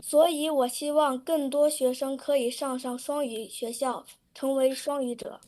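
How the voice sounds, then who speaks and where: Public speaker, high voice, steady reading of prepared remarks. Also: female voice, moderate pace. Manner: steady recited sentences.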